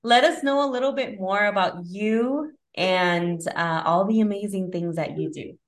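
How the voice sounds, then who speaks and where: A woman's voice: mid-range voice, normal speed. A woman hosting a podcast interview, friendly and enthusiastic, talking casually with fillers. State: mother with her son nearby. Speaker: podcast host.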